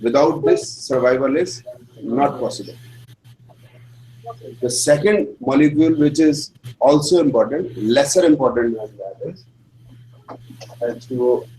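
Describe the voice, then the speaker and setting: A man's voice: mid-range voice, dark tone, rough recording. Indian male teacher, lecturing plainly in simple English, faint hiss behind. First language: Hindi. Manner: explains slowly in simple English, checks with "right?". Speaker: astrology teacher. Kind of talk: classroom lecture.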